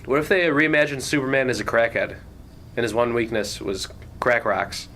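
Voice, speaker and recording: A man's voice: medium pitch, normal speed, pushed and bright. A young man co-hosting a podcast, chatting in a laid-back way and laughing along. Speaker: podcast co-host. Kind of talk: casual chat. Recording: faint background noise.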